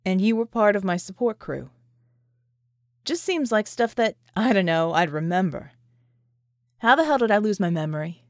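A woman voicing a skeptical, wary American man with a gruff, folksy drawl, in an audiobook story. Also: high voice, animated, normal speed, long pauses, dry recording, studio quality. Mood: wary, puzzled.

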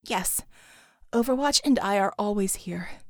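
A woman voicing a tough, supportive woman battle coordinator with a slightly hoarse voice, in an audiobook. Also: medium pitch, animated, a little slow, darkish tone, no room sound, clean studio sound. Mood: reassuring.

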